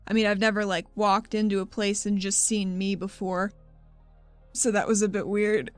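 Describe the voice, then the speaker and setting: A woman improvising in-character dialogue as a player in a tabletop role-playing show, halting and confiding. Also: mid-range voice, flat delivery, dry recording. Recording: music bed behind the voice.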